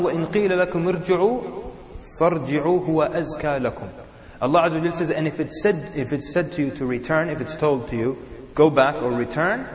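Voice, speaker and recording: A man preaches to a gathered audience, his voice sitting a little high for a man. He brings his points to life by acting out small scenes. His voice rings around a large hall with a long, trailing echo. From Sudan. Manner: animated, acts out examples in little voices. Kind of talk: sermon to a live audience. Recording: echoey hall with long reverb.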